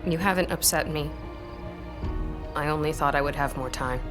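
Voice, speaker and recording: A woman playing a composed, sceptical manor employee in a period audio drama, with a measured, formal, restrained manner. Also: low-pitched, music in the background, lightly acted. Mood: subdued, hurt.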